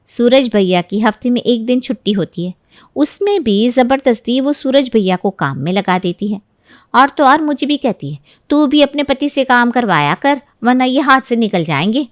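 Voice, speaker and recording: High-voiced scheming young neighbour woman, acted out by a woman. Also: no room sound, clean studio sound. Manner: sly, insinuating, mock-hesitant. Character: scheming, jealous young married woman neighbour, falsely sweet. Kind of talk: dramatized Hindi moral story acting.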